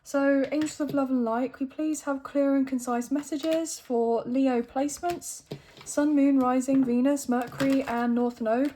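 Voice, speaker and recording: Woman, croaky throat, chatty tarot reader, mellow tone, slightly high voice. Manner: chatty, thinks aloud while drawing cards. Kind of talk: casual tarot reading to camera.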